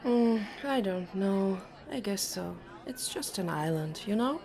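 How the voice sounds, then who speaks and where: A woman's voice: mid-range voice, lively intonation, unhurried, darkish tone. A woman voicing a stubborn, defensive teenage boy in an audiobook, talking in a casual, terse way. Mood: uncertain.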